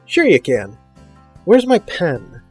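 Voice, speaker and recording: Male actor, wry writer, theatrical, lively swinging intonation, comedy audio drama. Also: high-pitched, slow, over music, exaggerated voice.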